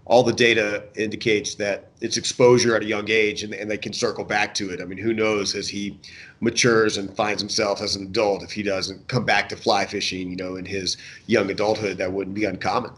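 A man's voice: on the low side, level tone, a little fast, a bit bright, husky. A man in his thirties or forties, talking casually and thoughtfully as an interview guest. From United States. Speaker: interview guest, fishing guide. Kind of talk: casual reflective interview chat. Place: indoor room, remote video call.

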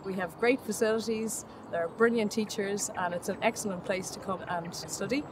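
A woman's voice: medium pitch, steady tone, normal speed, noisy background. A woman working as a marketing coordinator, speaking in an upbeat, scripted way for a promotional video. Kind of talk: promotional talking-head. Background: background music.

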